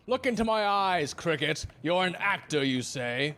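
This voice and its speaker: A performer acts out a menacing ship's first mate for an audio drama. The speech is slow, pompous and antiquated, with pitch that moves around to intimidate. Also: expressive, fairly bright tone. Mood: menacing, stern. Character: menacing, archaic-talking first mate, fanatical method actor. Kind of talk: theatrical audio drama acting.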